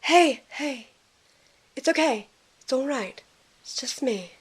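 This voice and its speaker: A woman playing a kind, caring young woman in an audio drama, with a gentle, earnest way of talking. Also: high-pitched, animated, slow, slightly rough, full character voice, poor audio. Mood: soothing.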